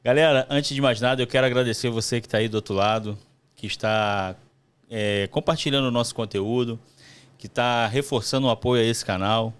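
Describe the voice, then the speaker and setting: A male podcast host with a Rio de Janeiro accent. His voice is clean and smooth, sitting at a middling pitch, and he talks to listeners and guest in a conversational style. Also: fairly even intonation, fairly quick. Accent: Brazilian, Rio de Janeiro. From Brazil. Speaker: podcast host, letter carrier. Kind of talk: conversational podcast hosting and interviewing.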